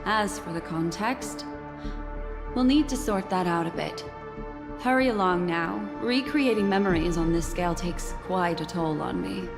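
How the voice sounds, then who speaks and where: A composed, enigmatic woman guide from a video game, with a lowish voice. She speaks deliberately and shrewdly, as if weighing each secret, over clear background music. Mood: calm, brisk. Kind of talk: scripted video game dialogue.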